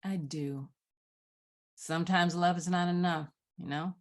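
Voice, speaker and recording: A wistful, grieving daughter played by a woman in a script read. Her voice sits somewhat low for a woman. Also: steady tone, measured pace, lightly acted. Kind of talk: live dramatic script read.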